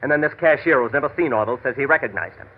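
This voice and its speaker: A man's voice: medium pitch, very fast, slightly rough, lightly acted. Actor as a tough gunman on tinny old radio. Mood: bitter, argumentative. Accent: American. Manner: slangy, argumentative, menacing. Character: tough, defensive gunman ex-convict posing as a friend. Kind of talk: classic American radio drama acting. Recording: thin, tinny vintage radio recording.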